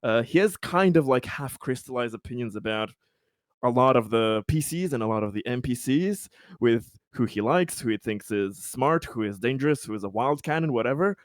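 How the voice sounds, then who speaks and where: A man's voice: mid-range voice, animated, moderate pace, no room sound, clean studio sound. A man playing a tabletop game who swears freely, laughs often and acts out his character's worried inner thoughts. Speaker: player. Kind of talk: animated tabletop game chat.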